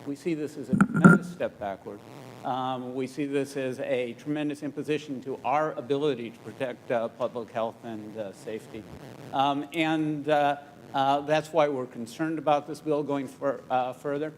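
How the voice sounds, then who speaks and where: A man from California, a state environmental official, giving a press statement in a halting way with many fillers. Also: medium pitch, slight background noise, low-quality recording.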